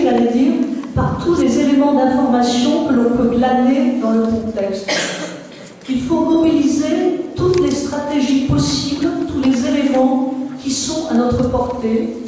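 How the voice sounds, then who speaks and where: A woman's voice: high-pitched, mellow. A woman giving a conference presentation, explaining methodically step by step. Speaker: project presenter. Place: large reverberant room at a conference. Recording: echoey hall from far off, faint noise.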